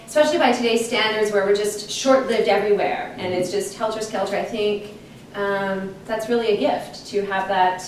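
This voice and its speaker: A woman hosting a live interview in a gushing, animated manner. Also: medium pitch. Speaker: host. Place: large echoey room with guests on a couch. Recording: echoey room, distant mic, faint noise.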